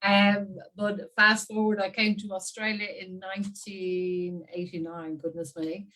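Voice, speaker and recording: A woman in her fifties with an Irish accent, telling her story as an interview guest in a chatty, animated way with frequent laughs. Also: medium pitch, unhurried, rough recording. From Ireland. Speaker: guest marketing strategist. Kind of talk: casual interview talk.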